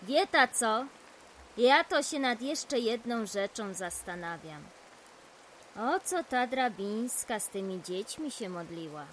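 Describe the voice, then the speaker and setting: Actress as slow-talking, inquisitive village aunt. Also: female voice, a little high, animated. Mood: pondering. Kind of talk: audio drama dialogue. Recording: rain hiss behind the voice. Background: rain.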